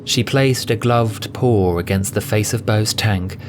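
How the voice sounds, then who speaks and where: A man's voice: fairly low pitch, measured pace, background noise. A man narrating a scripted story as the narrator of an audio drama. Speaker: narrator, voice actor. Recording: music bed under voice. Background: music.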